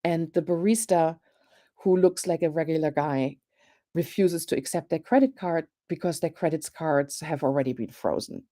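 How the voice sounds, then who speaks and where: A woman in her fifties from Germany with a German accent, speaking as a guest academic in a panel discussion, thoughtful and hesitant, with many pauses and restarts. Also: deep voice, dark tone.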